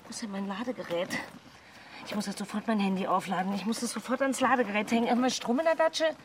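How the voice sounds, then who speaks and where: A woman's voice: medium pitch, quick pace, background noise, natural voice. An actress playing an anxious, exasperated businesswoman and mother in her forties in a naturalistic radio drama, agitated and sarcastic, repeating herself. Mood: frantic. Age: 40s.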